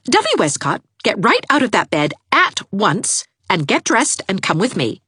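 Woman reader doing brisk sharp-tongued young woman, sarcastic, commanding, lively pitch, dry close sound. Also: husky, dry recording, exaggerated voice.

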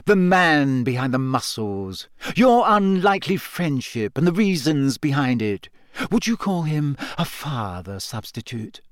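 A man voicing a sly, cold woman gossip reporter who talks fast and smug in an audiobook. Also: medium pitch, sing-song, no echo, studio quality. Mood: syrupy. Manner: fast-talking and smug, sweetly mocking. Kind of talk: audiobook character voice.

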